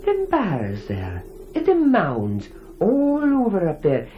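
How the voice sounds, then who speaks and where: A man's voice: high voice, huge pitch swings, slow pace, dull audio, faint music behind, heavily acted. An actor playing an eccentric rustic Englishman, folksy and eagerly curious, in a radio horror drama. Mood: slyly curious.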